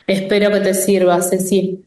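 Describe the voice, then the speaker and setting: Gentle woman explaining in an echoey room. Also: mid-range voice, flat delivery. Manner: gentle, explanatory, drawn-out phrasing with frequent "¿no?". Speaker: spiritual workshop host. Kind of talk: answering viewer questions in a live session.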